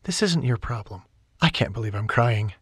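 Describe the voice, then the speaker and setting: A man voicing a stressed, stubborn young woman, raising his pitch and speaking emphatically. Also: lively intonation, moderate pace, husky, no room sound, clean studio sound. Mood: upset.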